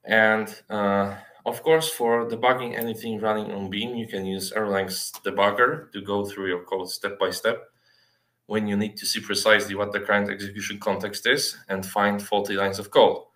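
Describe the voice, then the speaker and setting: A man in his thirties giving a technical presentation over a video call, speaking haltingly with frequent filled pauses. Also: on the low side, level tone, fairly bright tone. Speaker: software developer giving a talk. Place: small plain indoor room, on a video call. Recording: roomy, echoey webcam sound.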